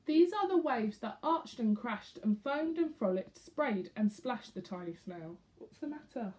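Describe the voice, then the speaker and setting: A woman reading a rhyming picture book aloud expressively to young children, pausing to put questions to them. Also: fairly high pitch, lively intonation, a little mellow.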